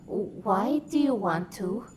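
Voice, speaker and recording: A woman voicing a young female quarian immigrant in an audio drama, guarded and sharp-tongued, with music underneath. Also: medium pitch, lively intonation, takes it slowly, slight background noise. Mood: suspicious. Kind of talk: fan audio drama acting. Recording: music behind the voice.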